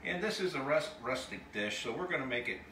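A man talks a viewer through a rustic dish in a roomy, echoey kitchen, heard from a few steps away from the mic. Frying and sizzling carry clearly behind his relaxed, chatty explanations. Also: medium pitch, fairly quick. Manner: chatty, explains while he works. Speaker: cooking show host. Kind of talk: casual cooking demonstration. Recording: roomy kitchen sound, mic at a distance, clear background noise.

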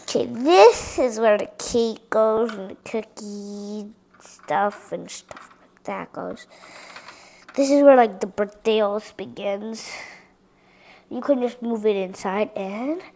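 A female voice giving a chatty tour of a toy dollhouse playset, addressing viewers directly and sometimes dropping into soft whispers. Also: mid-range voice, takes it slowly, a little hiss or hum. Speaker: toy reviewer.

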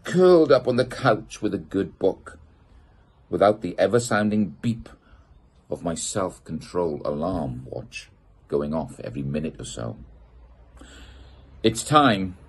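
A middle-aged man reads a children's picture book aloud with a low, husky voice that swoops up and down as he plays to young listeners. He takes his time over each phrase, playful and expressive. He sits outdoors in a garden gazebo, a camera at desk distance. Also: a little slow, pauses often. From United Kingdom. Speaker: storyteller reading a children's book.

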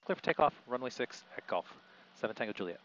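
A man's voice: mid-range voice, lively intonation, fast talker. A middle-aged man, a pilot, narrating from a small plane cockpit and giving clipped, matter-of-fact radio readbacks through a headset. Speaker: pilot and vlogger. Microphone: aviation headset boom mic. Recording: muffled headset audio with engine noise.

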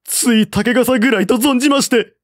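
High-pitched, pleading frightened soldier, acted by a man. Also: normal speed, dry recording, studio quality. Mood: desperate pleading. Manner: begging, deferential. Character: terrified pleading male common soldier, meek and desperate. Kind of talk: audiobook character acting.

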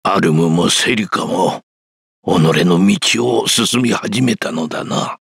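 An older man playing a humble, resolute old warrior in a video game, with a gravelly, forceful rasp. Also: lowish voice, normal speed, no room sound, studio quality, full character voice. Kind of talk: anime-style video game voice acting.

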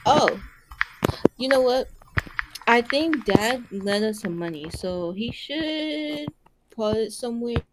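A bossy, practical young sister in a game roleplay, acted by a woman. Her voice is high and slangy, with clear keyboard clicks in the recording. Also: lively intonation, slow, pauses often, background noise. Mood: unsure.

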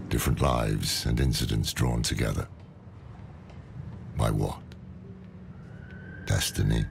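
An actor playing a weary old scholar in a video game, grave and wry, with a gravelly, measured delivery. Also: male voice, a bass voice, darkish tone, exaggerated voice. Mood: solemn, reflective. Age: older. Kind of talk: dramatic video game dialogue and narration.

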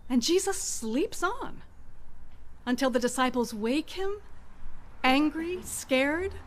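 A middle-aged woman pastor preaching a sermon in an outdoor church garden, speaking into a stand mic at a lectern with expressive pauses. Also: high-pitched.

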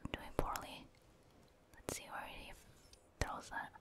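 A woman whispering casual, gentle ASMR commentary as she thinks aloud while playing a video game. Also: unhurried. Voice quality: breathy, voiceless whisper. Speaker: gaming ASMR streamer.

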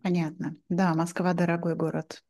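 A woman in her thirties hosting a simplified interview for learners, asking short, clearly phrased questions into a desk microphone. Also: lowish voice, flat delivery, moderate pace, a little mellow. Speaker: host, interviewer. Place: home room, video call.

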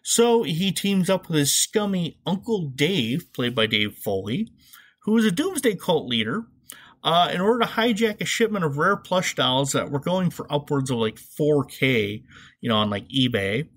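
A man's voice: fairly high pitch, big pitch swings, moderate pace, a bit bright. Sarcastic man hosting a podcast. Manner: sarcastic, swearing, emphatic asides. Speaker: podcast host. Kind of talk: comedic, opinionated podcast commentary, semi-scripted.

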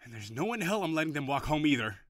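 A man playing an overworked office boyfriend in an audio roleplay. He sighs often, curses when frustrated and softens into affection. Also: fairly high pitch, big pitch swings, quick pace, no echo, clean studio sound. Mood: irritated, exasperated. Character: stressed, overworked office boyfriend, gruff but tender. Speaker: voice actor.

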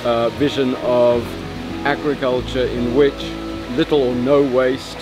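An older man, an aquaculture technician, speaking in a documentary interview, measured and reflective with pauses. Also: mid-range voice, little pitch movement. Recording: loud music bed behind.

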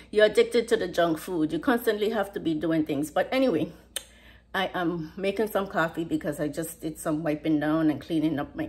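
A woman's voice: fairly low pitch, a little mellow. A middle-aged woman with a US Virgin Islands Caribbean accent, chatting casually to camera as a vlogger, emphatic and given to repeating her phrases. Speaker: vlogger, host. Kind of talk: casual vlog chat to camera. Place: home kitchen, indoors.

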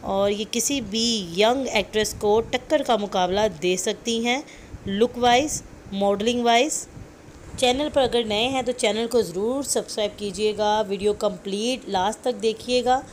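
Woman, Pakistani Urdu accent, showbiz news voice-over, repetitive chatty delivery, slightly high voice. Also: unhurried, a little hiss or hum. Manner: chatty, repetitive, mixes in English phrases. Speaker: entertainment news narrator. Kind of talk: voice-over commentary on celebrity clips.

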